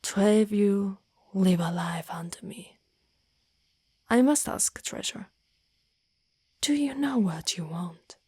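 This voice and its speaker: A woman voicing a devoted, lovestruck female dragon knight in human form, in an intimate, half-whispered ASMR roleplay with formal, halting phrasing. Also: medium pitch, dark tone, husky, dry recording, studio quality. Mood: solemn, yearning. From Italy. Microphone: close microphone.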